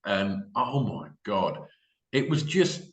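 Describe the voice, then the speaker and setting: Animated low-voiced English man, echoey room. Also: takes it slowly. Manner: enthusiastic, informal. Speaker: podcast host. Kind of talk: casual interview chat.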